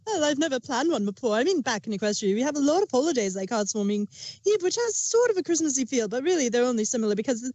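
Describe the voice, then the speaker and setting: A man voicing a bubbly, excitable female pony baker from a cartoon, gushing in breathless chatter. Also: very high-pitched, fast, no echo, voice pushed up, full character voice. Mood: chatty.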